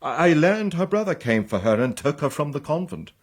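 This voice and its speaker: Husky, sentimental old military Count. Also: male voice, a little high, big pitch swings, brisk. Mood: troubled. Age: older. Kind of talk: period radio drama acting.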